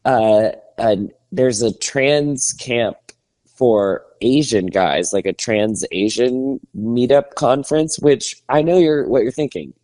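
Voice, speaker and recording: A man's voice: medium pitch, slow pace, a little mellow, no room sound. A man co-hosting a podcast, chatting casually and animatedly, laughing often. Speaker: podcast co-host. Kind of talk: casual chat.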